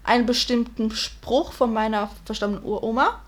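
A woman's voice: fairly high pitch, normal speed. A young woman, chatting casually and laughing often. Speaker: co-host. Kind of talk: casual chat.

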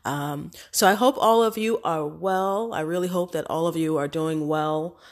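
A woman's voice: low voice, normal speed. A woman hosting a casual solo podcast, congested from a cold, chatty and affirming with many fillers. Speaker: podcast host.

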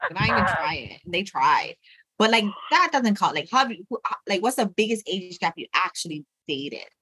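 A woman's voice: lowish voice, big pitch swings, fairly quick, sharp tone, breathy edge, dry recording, low-quality recording. A middle-aged woman co-hosting a casual chat, easygoing and rambling as she talks through her thoughts. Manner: rambling, full of fillers and restarts, easygoing. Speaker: podcast co-host.

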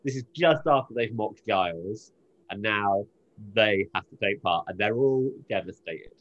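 A man co-hosting a podcast, telling the story of an episode in an informal, halting, conversational way. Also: lowish voice, animated, dull audio. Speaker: podcast co-host. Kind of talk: casual episode recap, conversational.

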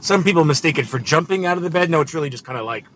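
A man's voice: fairly high pitch, lively intonation, rapid-fire, fairly bright tone, slight background noise. A man with a New York accent driving a rideshare car and chatting in a laid-back way with dry humor. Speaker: rideshare driver and podcast host. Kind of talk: casual chat.

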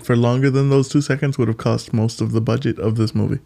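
A man co-hosting a casual movie podcast, animated and playful, often jumping in over his partner. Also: mid-range voice, quick pace, gravelly. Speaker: podcast co-host.

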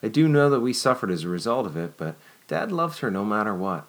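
A man reading aloud, voicing an excitable, dreamy young woman with a high, breathless, stammering voice. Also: brisk. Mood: wonderstruck.

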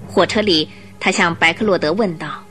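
A woman reading scripted narration for a Mandarin radio drama with expressive storyteller phrasing. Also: on the low side, moderate pace, faint music behind.

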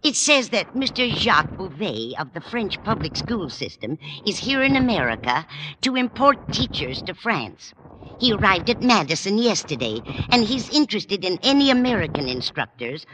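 A woman's voice: medium pitch, sing-song, moderate pace, natural voice. A woman playing a chatty, kindly older landlady, fluttery and motherly, in an old radio sitcom. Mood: earnest, kindly. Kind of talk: 1940s-50s radio sitcom acting. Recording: noisy old-time radio recording.

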